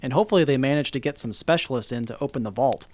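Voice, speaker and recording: A man playing a quick-talking, earnest traveler. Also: mid-range voice, a little mellow, close to an everyday voice.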